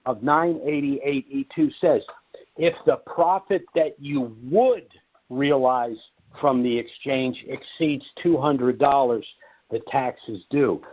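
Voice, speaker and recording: A man hosting a question-and-answer call, explaining things deliberately and emphatically, repeating his key points. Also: mid-range voice. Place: conference call. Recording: muffled, narrow-band conference call audio.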